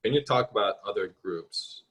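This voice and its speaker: A man's voice: lowish voice, expressive, normal speed, fairly bright tone, husky. A man moderating a panel discussion, musing aloud and hedging with many fillers as he asks questions.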